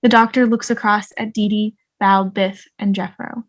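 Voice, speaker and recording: A woman's voice: medium pitch, little pitch movement, moderate pace. A woman narrating the stage directions of a drama script at an online table read.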